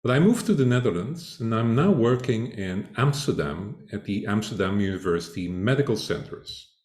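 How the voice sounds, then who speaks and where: An older man from Flanders, Belgium, giving a measured academic conference talk as a speaker. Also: on the low side, a little mellow, echoey room. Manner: measured, deliberate lecturing.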